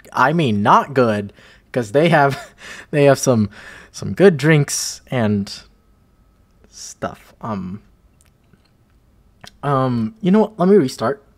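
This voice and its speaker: Man acting a halting, swooping, shy college guy. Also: medium pitch. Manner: halting, flustered stammering with nervous laughs. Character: shy, smitten young college man asking out a crush. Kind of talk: roleplay audio acting.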